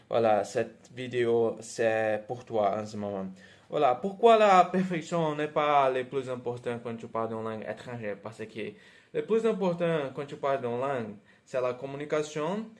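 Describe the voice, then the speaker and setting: A man whose first language is Portuguese, speaking with an accent and giving encouraging advice to language learners, with frequent hesitations and fillers. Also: mid-range voice. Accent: Portuguese-speaking, Brazilian. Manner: hesitant, many "euh" fillers and restarts; encouraging, talks straight to the viewer. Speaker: language teacher. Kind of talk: casual vlog advice to learners.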